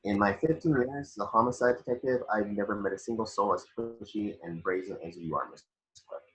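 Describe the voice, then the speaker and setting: A man's voice: fairly low pitch, fairly quick, fairly bright tone, lo-fi sound, close to an everyday voice. A man reading the part of a firm, exasperated veteran homicide detective in an amateur table read of a film script.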